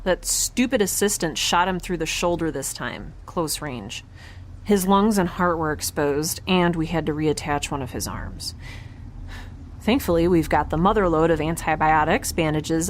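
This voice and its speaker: A woman playing an excitable, awkward, optimistic young space traveler in a science fiction audio drama, rambling in informal speech. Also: sits low, moderate pace, slight background noise, lightly acted. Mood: upbeat, casual.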